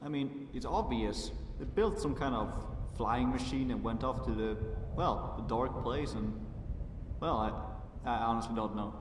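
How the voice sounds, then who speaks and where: A man's voice: mid-range voice, measured pace, background noise, lightly acted. Man playing a wry, chatty companion, echoey. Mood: quizzical, rambling. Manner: conversational, wry, rambling. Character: wry, chatty, friendly male vampire adventuring companion. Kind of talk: fantasy game character acting. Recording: echoey, distant, music behind voice.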